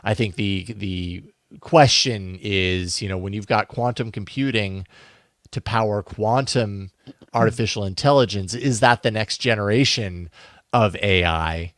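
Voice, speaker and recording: A man's voice: fairly low pitch, unhurried, a bit bright, no room sound, clean studio sound. A man with an American accent co-hosting a tech podcast, talking in a casual, musing, conversational way. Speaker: podcast host.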